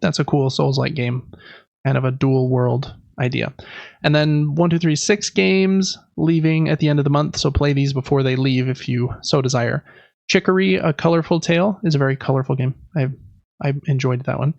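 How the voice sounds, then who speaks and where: A man's voice: mid-range voice, fairly even intonation, normal speed, gravelly, a little mellow, dry recording, lo-fi sound. A man hosting a casual gaming podcast, reading through news lists in a chatty, informal way with personal asides. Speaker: podcast host.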